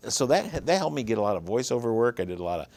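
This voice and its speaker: A man's voice: mid-range voice, very animated, rapid-fire, darkish tone, dry recording. A man in his fifties with a Michigan accent, telling his story easily as an interview guest. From Michigan, USA. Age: 50s. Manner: easygoing, storytelling. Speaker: guest, writer and producer. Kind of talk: casual interview chat.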